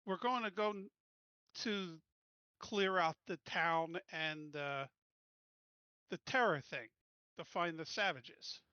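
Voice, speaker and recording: A tabletop game player thinking out loud, stammering and restarting mid-sentence. The voice is bright and forward, in a middle pitch. The recording sounds dry and slightly dull. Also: slow pace, long pauses, smooth, no echo. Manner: plans aloud, stammers on restarts. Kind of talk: casual tabletop game planning.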